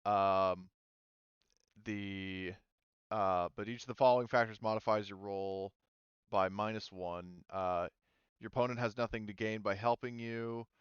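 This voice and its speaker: A man acting as game master, casually explaining rules and thinking aloud in a halting way. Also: on the low side, clean tone, no echo, muffled recording. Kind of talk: casual tabletop game narration and rules talk.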